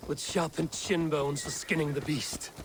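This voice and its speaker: An actor playing a level-headed, wary Viking warrior in a video game, speaking in a measured, sober way. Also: male voice, on the high side, steady tone, background noise, natural voice. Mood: reminiscing.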